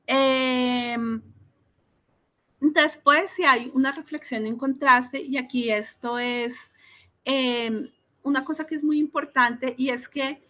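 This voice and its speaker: A woman speaks in a fairly high voice, taking her time over each idea. She explains as a teacher trainer on a video call, thinking aloud and often checking in with her listeners. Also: a bit bright. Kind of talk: online teacher-training talk.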